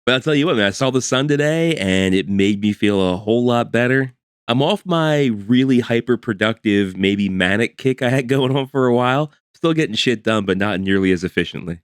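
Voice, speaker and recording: A man's voice: mid-range voice, animated, fairly quick, clean tone, no room sound, studio quality. A man in his forties co-hosting a podcast from home, teasing his partner in a wry, loose way and swearing freely. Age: 40s. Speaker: podcast co-host. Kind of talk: casual chat. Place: home over an internet call.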